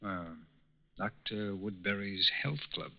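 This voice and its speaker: An actor playing a calm, courteous male federal agent with measured questioning in a 1940s radio crime drama. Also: lowish voice. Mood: calm, inquiring. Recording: muffled, hissy old radio broadcast.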